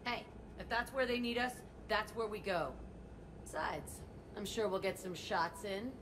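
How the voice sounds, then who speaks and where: Game role: actress as brash, sarcastic female instructor, foul-mouthed swagger, mid pitch, noisy ambience. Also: a little slow, fairly bright tone, close to an everyday voice. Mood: resigned, gung-ho. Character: brash, tough, foul-mouthed young woman biotics instructor. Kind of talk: video game voice acting.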